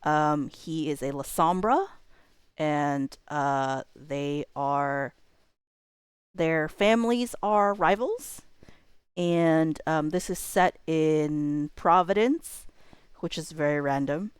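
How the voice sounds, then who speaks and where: A woman's voice: low voice, slow, clean tone, dry recording. A woman hosting a livestream, chatting casually to viewers in a joking way with wandering asides. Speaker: livestream host.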